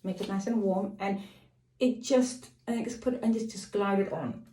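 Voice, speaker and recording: A middle-aged woman with a slightly croaky voice, casually chatting to camera as a lifestyle vlogger, rambling off on tangents. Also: medium pitch, fairly even intonation, normal speed, warm tone. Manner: rambling, digresses and apologizes. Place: home. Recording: echoey room.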